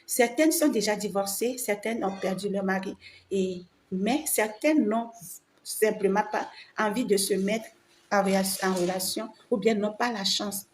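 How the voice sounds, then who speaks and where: A woman's voice: medium pitch, measured pace. A woman, a French speaker, giving an informal opinion monologue to other women, emphatic and repeating phrases for emphasis. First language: not French. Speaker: vlogger. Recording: noticeable background ambient noise.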